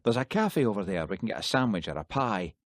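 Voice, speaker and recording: A man's voice: on the low side, very animated, fast, no echo, studio quality. A man reading an audiobook, voicing a chatty, easygoing police sergeant. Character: Scottish male police sergeant, hungry, jovial.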